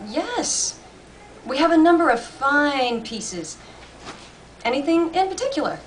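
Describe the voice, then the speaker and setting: A woman voicing a courteous old gentleman who sells antiques, with measured, polite sales patter in an audiobook reading. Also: high voice, very animated, pitched above the performer's own voice. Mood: courteous, pitching. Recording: distant mic, faint room noise.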